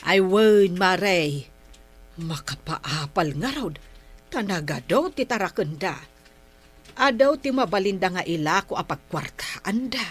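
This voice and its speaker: A woman's voice: medium pitch, lively intonation, measured pace, slightly rough. Woman as a chatty Ilocano village neighbour. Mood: gossipy. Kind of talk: comedic barangay radio drama acting.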